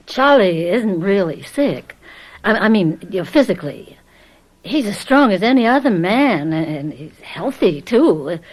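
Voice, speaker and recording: A timid, worried wife, in a radio mystery play. She speaks slowly and haltingly in a middle-pitched voice, meek but protective. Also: darkish tone. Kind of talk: radio mystery drama acting.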